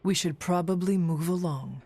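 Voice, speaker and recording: A formal, earnest ancient warrior. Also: female voice, lowish voice, normal speed, dark tone, husky, no echo. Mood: dry, earnest. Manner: formal, stiff, no contractions. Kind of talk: video game voice acting.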